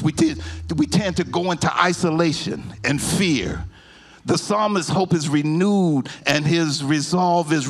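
Brief: middle-aged pastor, high gravelly voice, slow deliberate teaching that builds to shouted sung-out peaks, handheld mic in a church, faint music behind. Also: male voice. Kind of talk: sermon. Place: church sanctuary.

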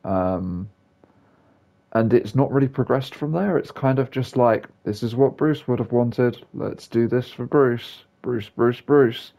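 A man's voice: medium pitch, unhurried, vocal fry, dark tone. A man giving casual, rambling game commentary as the host of a gaming video. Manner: rambling, offhand.

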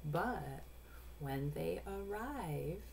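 A woman in her forties reading a picture book aloud to young children, pausing to explain in an instructive way. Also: on the low side, expressive, vocal fry, darkish tone. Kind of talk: storybook read-aloud with explanatory asides. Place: small room at home. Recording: noisy home webcam recording.

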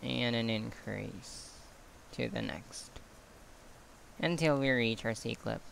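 A man giving a quiet step-by-step craft tutorial, counting stitches as he works off camera. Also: medium pitch, creaky, slight background noise, low-quality recording. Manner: slow, quiet counting while working with hands. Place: indoors at a table. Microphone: camera on hands, speaker off screen.

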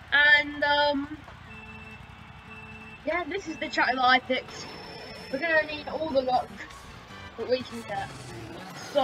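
A woman hosting a racing game playthrough with a slightly scratchy voice from a sore throat, chatting casually and exclaiming while she concentrates. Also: sits high. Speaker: gaming channel host. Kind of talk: casual gameplay commentary. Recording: loud game music underneath. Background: game music and engine sounds.

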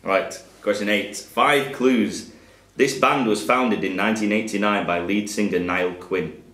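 A man's voice: lowish voice, a little slow, fairly bright tone. A man with a Northern English accent reading quiz questions to players in a social club, in a dry, plain way. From England. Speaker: quizmaster. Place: social club function room with a table of players. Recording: roomy hall sound with faint background noise.

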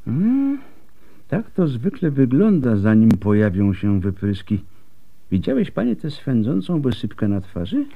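An actor playing a weary, bitter, bluntly honest doctor in a radio drama, speaking deliberately with sighs. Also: male voice, mid-range voice, huge pitch swings, gravelly, lo-fi sound, full character voice. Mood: bitter, helpless.

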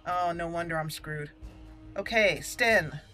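A woman with a bright voice gives casual commentary over a video game. She is chatty and expressive, talking to the characters as she plays. Faint game music runs behind her. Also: on the low side, measured pace. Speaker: gaming channel host. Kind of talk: casual let's play commentary.

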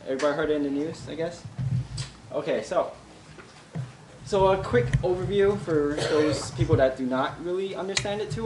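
A young man giving a casual tech talk at a meetup, with many fillers and pauses. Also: fairly high pitch, background noise. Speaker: meetup presenter. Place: office meeting room with audience. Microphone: far camera. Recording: roomy sound from far off.

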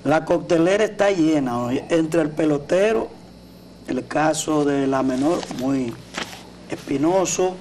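A man's voice: fairly high pitch, unhurried, darkish tone. A middle-aged man with a Dominican accent commenting on the news as co-host of a TV talk show. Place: TV studio at a desk. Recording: distant mic with clear background noise.

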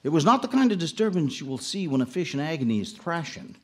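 A man's voice: on the high side, very animated, quick pace, darkish tone. An actor playing a wry, folksy small-town ice fisherman narrating a ghost tale in a live radio drama. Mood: wry, storytelling.

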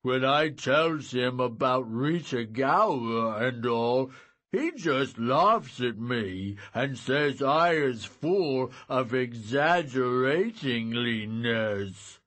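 A man voicing a gentle, simple-minded giant who is glum and apologetic, speaking in laboured, broken grammar in an audiobook story. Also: medium pitch, lively intonation, heavily acted. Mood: glum, earnest. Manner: drawn-out, laboured delivery with quaint broken grammar.

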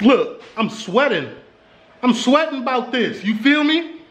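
An American man from Chicago in his thirties, chatting casually and boastfully in slang as an animated vlog host in a home kitchen. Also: very high voice, unhurried. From Chicago, United States. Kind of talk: casual excited cooking vlog chat. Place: small home kitchen, indoors. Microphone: camera, handheld or on the counter. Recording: echoey kitchen, clearly noisy background.

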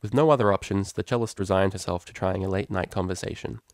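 A man's voice: deep voice, fast, a little mellow, no room sound, clean studio sound. A man reading a scripted story as an audiobook narrator.

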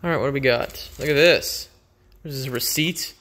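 Bright-voiced man, casual and rambling. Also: medium pitch, moderate pace, a little hiss or hum. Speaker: vlogger. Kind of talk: casual unscripted unboxing commentary.

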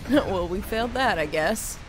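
A woman's voice: mid-range voice, lively intonation, normal speed, background noise. A young woman chatting and joking casually as she reacts to a video game from her desk. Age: young adult. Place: indoors, at a gaming desk. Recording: game sound clearly behind the voice.

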